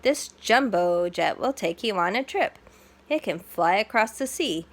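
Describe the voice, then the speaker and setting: Lady reading as a tour guide in a kids book, explaining stuff simply, really lively intonation. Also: mid-range voice, a little slow. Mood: informative. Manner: explains simply in short declarative sentences. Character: informative, welcoming air show tour guide.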